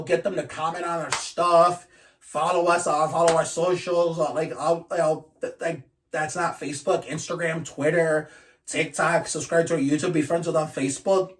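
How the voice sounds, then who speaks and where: A man's voice: on the high side, recorded from a distance, slight background noise. A man ranting casually to his channel, blunt and profane, rambling with frequent restarts. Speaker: YouTuber. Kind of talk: casual vlog rant.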